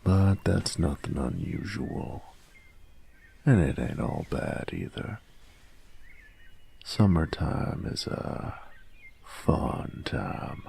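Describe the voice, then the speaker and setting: A man voicing a cocky, teasing young bully who is secretly smitten, in a husky, slangy intimate audio roleplay. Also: huge pitch swings, very slow, long pauses, dark tone, a little hiss or hum, full character voice. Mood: mock-annoyed, cocky. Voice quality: very deep, gravelly, husky. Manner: swearing, teasing, smug slangy drawling delivery.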